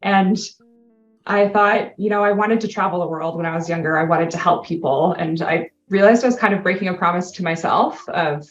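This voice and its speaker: A woman's voice: fairly low pitch, brisk, soft background music. Canadian woman, podcast guest, chatty and laughy, kinda echoey room, muffled sound. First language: English. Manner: animated storyteller, laughs often. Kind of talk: casual interview conversation.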